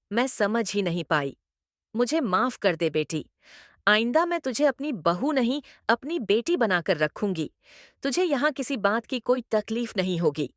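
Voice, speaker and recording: A woman acts out a scheming middle-aged mother-in-law from a cartoon. Her voice is fairly high and swings widely in pitch. She is theatrical and domineering, recorded close and dry. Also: no echo. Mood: remorseful. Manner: scolding, theatrical, emphatic. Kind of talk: Hindi moral-story cartoon voice acting.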